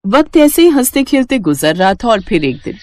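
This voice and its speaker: A woman's voice: a little high, big pitch swings, brisk, darkish tone, dry recording, clean studio sound. A woman with an Indian accent narrating a scripted moral story as a storyteller.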